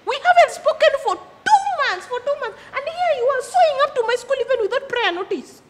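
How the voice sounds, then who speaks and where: A woman's voice: very high-pitched, sing-song, a little fast, a bit bright, exaggerated voice. A woman playing a wary, indignant older sister in a drama, protesting and repeating herself for emphasis.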